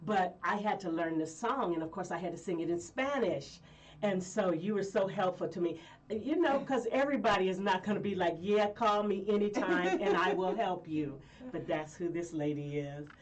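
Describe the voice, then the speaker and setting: Woman guest from Colorado, lively rambling storyteller, lower pitch, recorded a little distant. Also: moderate pace. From Colorado, USA. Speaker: guest singer. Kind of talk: casual interview chat.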